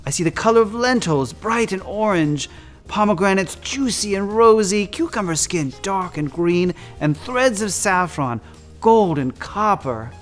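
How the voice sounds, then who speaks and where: High-voiced theatrical man, campy storyteller. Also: a little slow, faint music behind. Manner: effusive, campy, often calls listeners "darlings"; dramatic, expressive delivery. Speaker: drag queen storyteller and guest. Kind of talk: theatrical read-aloud of picture books with chatty asides.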